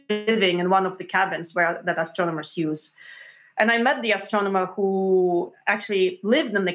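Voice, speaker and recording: Animated woman guest. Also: on the low side. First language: Russian. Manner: animated, many restarts and fillers, acts out quotes. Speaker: author guest. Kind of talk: conversational interview storytelling.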